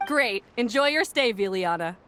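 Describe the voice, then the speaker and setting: An actress playing an upbeat, eager tournament announcer and interviewer in a video game, addressing a crowd with polish. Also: female voice, on the high side, lively intonation, moderate pace, fairly bright tone, slight background noise. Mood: bright, upbeat. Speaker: event host.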